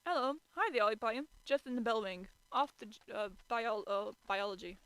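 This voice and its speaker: A woman's voice: on the high side, fairly quick, fairly bright tone, clear, resonant tone, no echo. A woman doing an amateur dramatic reading on a stream, stumbling over words and theatrically exasperated. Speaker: streamer reading fan fiction aloud.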